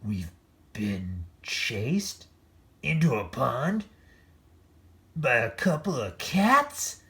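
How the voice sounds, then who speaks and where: A storybook reader voicing a pack of mocking, cowardly dogs with drawn-out, exaggerated taunts and wails. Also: medium pitch, sing-song, fairly bright tone, full character voice. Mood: embarrassed.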